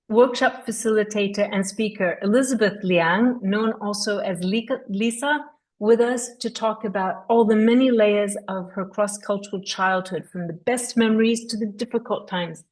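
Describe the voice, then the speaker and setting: A woman hosting an interview show, giving a prepared introduction in an enthusiastic, measured way. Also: medium pitch, a little mellow, poor audio. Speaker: host.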